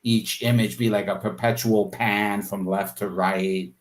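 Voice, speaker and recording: A man's voice: on the low side, steady tone, a little slow. A man being interviewed as an artist, talking casually and thoughtfully about his creative process, often searching for words and peppering in "like" and "you know".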